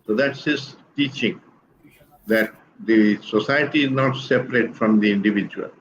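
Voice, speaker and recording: An older man from India with an Indian English accent, giving a calm, deliberate explanation as a guest speaker. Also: on the low side, highs cut off. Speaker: guest speaker on spiritual teachings. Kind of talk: measured explanatory talk.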